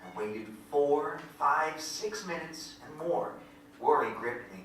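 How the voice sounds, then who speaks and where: A dramatic storyteller acted by a man recounts his boyhood in measured literary phrases. The voice is bright and projected, heard at a distance in a very reverberant room. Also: a little high, animated. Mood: tense, vivid. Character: grown male narrator recalling boyhood adventure, vivid and dramatic. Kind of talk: first-person theatrical storytelling. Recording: very echoey room from afar, faint noise.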